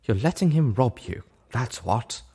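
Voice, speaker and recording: A man's voice: mid-range voice, sing-song, unhurried, vocal fry, warm tone, husky, pitched above the performer's own voice, heavily acted. Man voicing an elegant, gracious, assertive female pony. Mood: scolding. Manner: demure, gracious, charming, assertive. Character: Velvet Remedy, elegant coaxing female pony. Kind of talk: character dialogue in a fan fiction reading.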